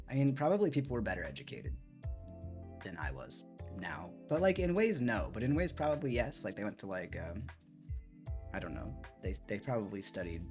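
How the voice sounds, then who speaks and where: Very animated man reading aloud on stream. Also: low-pitched. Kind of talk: expressive read-aloud with casual commentary. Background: soft music.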